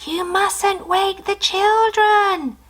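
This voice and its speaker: Gentle, cautioning storybook creature played by a woman, whispered and high, words stretched out slowly. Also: steady tone, exaggerated voice. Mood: hushed warning. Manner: whispered, drawn-out, hushing. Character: gentle, cautioning storybook animals hushing someone.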